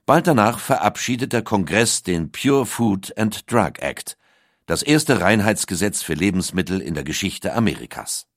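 Male narrator, low pitch, very animated intonation, scripted documentary read, dry close sound. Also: normal speed, no room sound. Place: dry recording studio.

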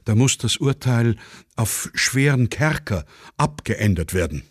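A man's voice: mid-range voice, expressive, a little slow, slightly rough, dry recording, studio quality. A man voicing a dutiful, hesitant military clerk, deferential and matter-of-fact, in a radio play. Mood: dutiful, cautious. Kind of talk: satirical radio play dialogue.

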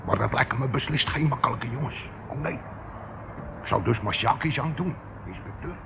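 An actor playing a shifty, hesitant small-time crook with colloquial speech in a Dutch radio play, on a muffled, noisy old recording. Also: male voice, mid-range voice, animated, moderate pace, gravelly. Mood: furtive warning.

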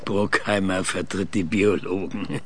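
An actor in a radio drama playing a proud, opinionated old scientist who speaks pompously and searches for words. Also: male voice, deep voice, fairly even intonation, a little slow, fairly bright tone, slight background noise. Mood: dry, eager. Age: older.

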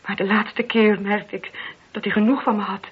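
An actress playing an anguished married woman in a radio play, speaking in a husky, halting, confessional way. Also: medium pitch, steady tone. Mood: subdued, hesitant. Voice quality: very husky, breathy. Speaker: voice actor. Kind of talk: Dutch radio play acting. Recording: dull, muffled old radio recording.

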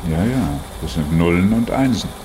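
A man interviews in a conversational style. His voice is fairly low, with pitch that moves around in an animated way. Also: moderate pace, background noise.

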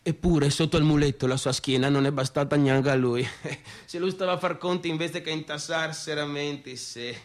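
An actor with a northeastern Italian Veneto accent playing a bitter, rambling son of a small-town businessman, in a halting, colloquial theatrical monologue. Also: on the high side, fairly even intonation, natural voice. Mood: wry, bitter. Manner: halting, repetitive, confiding, conversational. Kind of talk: theatrical monologue in colloquial dialect-tinged speech.

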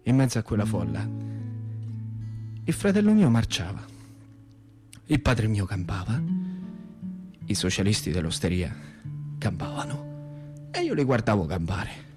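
A man's voice: on the low side, very animated, measured pace, long pauses, music in the background. A man with a central Italian accent performing a dramatic radio theatre monologue as a storyteller in rustic dialect. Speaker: solo actor and storyteller.

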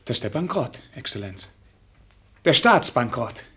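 An actor playing a naive, courteous and dismayed prince in a German radio play, with a husky voice and polite, halting aristocratic speech. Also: male voice, mid-range voice, sing-song, a bit bright, exaggerated voice.

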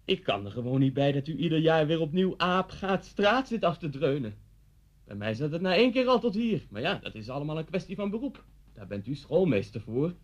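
A man's voice: fairly high pitch, big pitch swings, a little fast. An actor in a Dutch radio play, playing a talkative, coolly unsettling policeman who speaks politely and insinuatingly. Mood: chatty, needling. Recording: dull, muffled old radio recording.

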